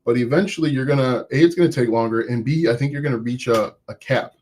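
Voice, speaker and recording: A man with a voice of middle pitch, speaking as an online business coach on a live stream. He talks casually and encouragingly to his viewers, often restarting his sentences. He says he has an accent himself. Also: quick pace, darkish tone, a little hiss or hum. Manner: conversational and encouraging, with frequent restarts.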